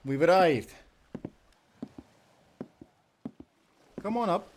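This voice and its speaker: A man's voice: high voice, lively intonation, fairly quick, noisy background. A man playing a hearty, friendly timberman turned sailor who talks in folksy, encouraging old-time seafaring speech in a nautical audio drama. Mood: warm, reflective. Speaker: sailor guiding a newcomer.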